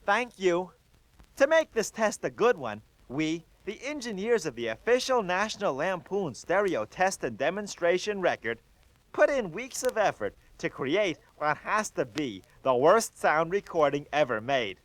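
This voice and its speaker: A man's voice: high-pitched, sing-song, measured pace, no room sound. A man playing an earnest sound engineer, explaining in a matter-of-fact manner. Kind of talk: scripted comedic explanation.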